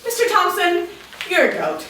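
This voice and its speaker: A woman's voice: very high-pitched, wildly swooping pitch, moderate pace, sharp tone, full character voice. Woman reading gloating young witch, very echoey room. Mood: accusing. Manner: taunting, scolding. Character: vengeful young woman, newly graduated evil witch, gloating. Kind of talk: amateur script table read. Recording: echoey room, far mic, faint noise.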